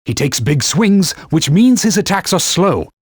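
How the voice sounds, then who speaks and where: A man's voice: high-pitched, sing-song, unhurried, darkish tone, husky, dry recording, clean studio sound, exaggerated voice. An actor voicing a stern, haughty talking sword in a video game, giving combat orders and scolding his partner as a fool. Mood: instructive. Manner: commanding, gives combat tips.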